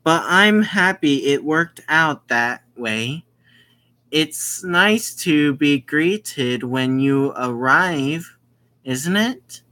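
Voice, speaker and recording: Man reading as friendly young woman, lively pitch. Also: drawn-out words, exaggerated voice. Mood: pleased, gentle.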